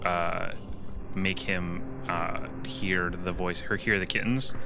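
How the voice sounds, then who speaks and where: A man playing a tabletop game, halting as he thinks aloud between narration and casual table talk. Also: low voice, steady tone, bright, forceful tone. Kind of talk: improvised in-character play and casual table talk. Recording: clear background noise.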